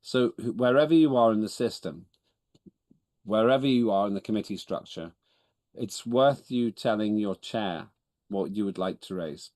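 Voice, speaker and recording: A lower-pitched man, Estonian by first language, chairs a video-call meeting in English. He is businesslike and wry, often correcting himself as he goes. The sound is dry and close. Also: moderate pace, a little mellow, no room sound. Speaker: chairman of parliament.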